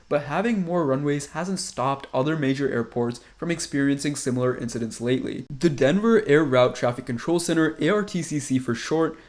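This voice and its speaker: Youngish guy doing scripted explainer narration, kinda high voice, steady and informative. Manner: measured, informative delivery.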